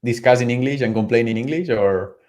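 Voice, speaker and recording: Man host, English, casual, lots of uh and like, middle pitch. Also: brisk, darkish tone. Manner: loose, filler-heavy questioning. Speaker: podcast host. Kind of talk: casual interview chat.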